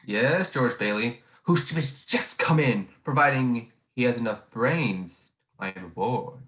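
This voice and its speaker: A man's voice: mid-range voice, takes it slowly, fairly bright tone. A young man playing a domineering, scheming old tycoon in a 1940s-style radio play, with an imperious, cajoling manner. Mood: cajoling, condescending. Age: young adult. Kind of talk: 1940s radio drama acting. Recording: echoey room over video call.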